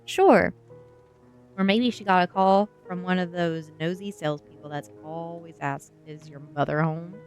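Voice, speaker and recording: A woman's voice: lowish voice, normal speed, darkish tone, soft background music, natural voice. A woman reading the part of an outspoken schoolgirl from a storybook, consoling in a reassuring, matter-of-fact way.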